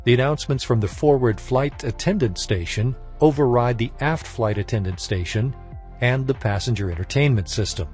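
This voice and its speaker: Man, mid-pitched voice, scripted technical narration, formal and measured, instructor style, music in the background. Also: darkish tone.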